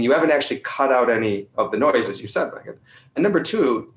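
A man giving an articulate, conversational explanation as an interview guest, often checking his points with a quick tag question. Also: mid-range voice. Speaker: company representative being interviewed. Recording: roomy, echoing sound.

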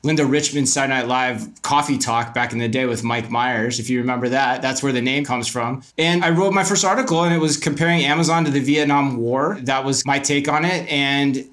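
A man's voice: medium pitch, steady tone, fairly quick, hardly any pauses, a bit bright. A middle-aged man speaking as an interview guest, telling his story in a candid, emphatic, talkative way. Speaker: guest, retail media co-founder and commentator.

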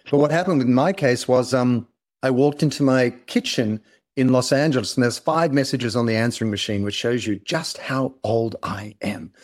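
Podcast guest: middle-aged Australian man, average pitch, earnest and polished storyteller. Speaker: interview guest, company founder and author.

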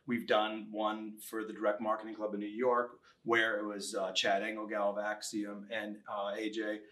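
A man's voice: fairly low pitch, a bit bright. Chatty male podcast co-host. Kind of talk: casual interview chat.

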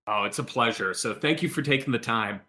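Bright-voiced male podcast host. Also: mid-range voice, fairly quick. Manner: upbeat, scripted intro then friendly questions. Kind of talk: business podcast interview.

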